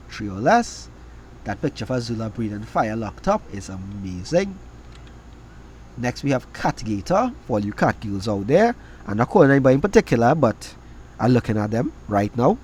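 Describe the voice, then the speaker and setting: Raspy man reviewing trading cards. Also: mid-range voice, a little mellow, slight background noise. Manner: reads card text then reacts with quick verdicts. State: has a cold, raspy voice. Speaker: card game video host.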